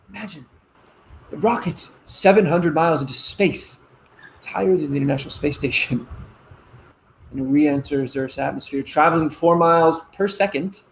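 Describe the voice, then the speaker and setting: Man as young military officer, lighter-pitched voice, proud and earnest stage dialogue, dramatic pauses, faint background noise. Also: creaky, rough recording. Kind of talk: conversational stage-play dialogue.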